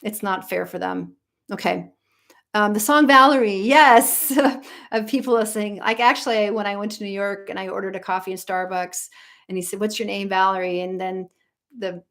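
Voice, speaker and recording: A woman's voice: mid-range voice, fairly even intonation, moderate pace, fairly bright tone. A middle-aged American woman, a vocal coach, chatting casually on a livestream and reading out viewer comments, rambling with lots of ums and restarts. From United States.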